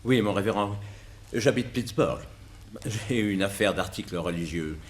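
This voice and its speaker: An actor playing a polite, fretful provincial gentleman in a 1960s French radio mystery, with a hesitant conversational manner. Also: fairly low pitch, normal speed, natural voice. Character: respectable, fretful provincial gentleman hotel guest carrying money. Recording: noisy old radio drama recording.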